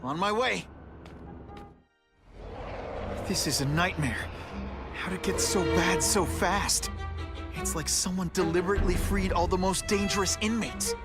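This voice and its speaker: Young American male game hero: fairly high voice, sarcastic and righteous, over loud music. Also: moderate pace. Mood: alarmed, stern. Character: young male wisecracking superhero, earnest and indignant. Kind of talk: video game cutscene acting.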